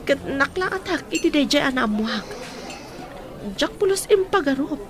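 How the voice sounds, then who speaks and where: A woman's voice: sits high, expressive, normal speed, a crowd in the background. A woman playing a frank, caring young friend in an audio drama, speaking earnestly with a Filipino accent. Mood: earnest, apologetic. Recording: faint background noise.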